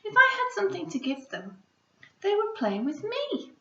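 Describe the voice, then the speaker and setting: A woman voicing a shy, hesitant, gentle little boy mouse from a children's storybook, timid and stammering. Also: very high voice, huge pitch swings, moderate pace, pitched above the performer's own voice, full character voice. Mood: wistful. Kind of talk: children's storybook read-aloud. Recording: roomy echo, slightly dull sound.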